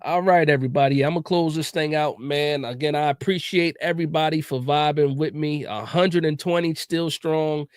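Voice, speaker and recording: A man hosts a casual sports talk livestream in a relaxed, chatty way, often repeating himself and dropping "man" into his sentences. His voice sits a bit high. The sound is dry and close, with little room around it. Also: normal speed, few pauses, clear, resonant tone, no echo. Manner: laid-back, repeats words, says "man" often. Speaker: podcast host.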